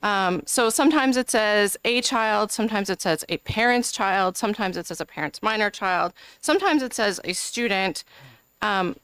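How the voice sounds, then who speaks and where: A woman's voice: mid-range voice, lively intonation, moderate pace, fairly bright tone, smooth, no echo. Earnest woman legislator, dry close sound. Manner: earnest, argumentative, many filler ums. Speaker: state legislator presenting an amendment. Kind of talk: committee testimony. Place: legislative committee room.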